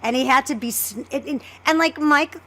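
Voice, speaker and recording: A woman's voice: sits high, a little fast, bright, forceful tone. A woman hosting a podcast in casual chat, effusive, often repeating and restarting her phrases. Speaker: podcast host, co-creator and voice actress.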